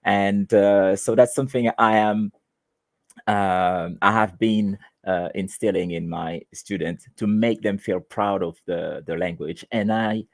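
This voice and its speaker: Haitian Creole-speaking man, teacher guest; very low, slow, halting, dry close sound. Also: steady tone, no room sound. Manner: halting, many restarts and fillers. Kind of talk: conversational interview answers.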